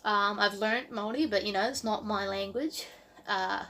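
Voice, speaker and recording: A woman's voice: medium pitch, steady tone, moderate pace, fairly bright tone. A woman in her early twenties with a New Zealand accent, talking casually to camera as a vlogger in a rambling way full of "like" and "um". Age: early 20s.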